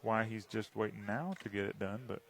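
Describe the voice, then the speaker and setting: A man's voice: lowish voice, steady tone, brisk, gravelly. A male radio sports broadcaster from Texas, bantering casually in an easygoing, conversational way. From Waco, Texas.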